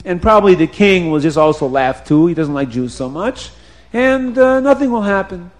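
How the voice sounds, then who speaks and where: A man's voice: high voice, animated, normal speed. A man voicing a scheming, greedy royal minister in a children's storybook tale, cocky and self-justifying. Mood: casual, reasoning.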